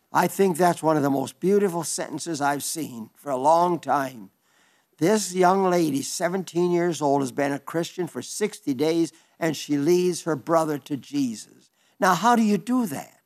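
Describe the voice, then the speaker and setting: An elderly male radio preacher with a slightly high voice, reading letters from listeners in a homespun sermon. He talks in a chatty, easygoing way with little stumbles and asides. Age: older. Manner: chatty and warm-hearted, with small stumbles and asides.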